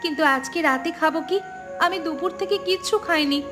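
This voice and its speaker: A woman's voice: very high voice, moderate pace. A woman playing wronged young village sisters-in-law in a cartoon story, speaking in an earnest, plaintive way. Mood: wistful, worried. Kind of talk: Bengali cartoon story dialogue. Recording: music behind the voice.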